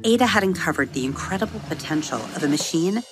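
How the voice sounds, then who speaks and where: Low-voiced woman reading a bedtime story. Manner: expressive, slowing and softening on vivid words. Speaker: storybook narrator and episode host. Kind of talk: children's bedtime story narration. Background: soft music under the reading.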